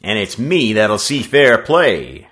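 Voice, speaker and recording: Storybook labourer, big gruff man, acted by a male narrator, blunt, tart, commanding. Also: on the low side, very animated, slow pace, a bit bright, pitched above the performer's own voice, exaggerated voice. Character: big muscular gruff working-man ship fireman.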